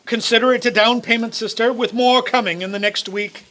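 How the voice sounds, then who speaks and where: A man's voice: extremely high pitch, steady tone, normal speed, a crowd in the background. A man playing an elderly reformed miser in a radio play, speaking with emphatic, theatrical, old-fashioned formality. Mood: giddy, joyful.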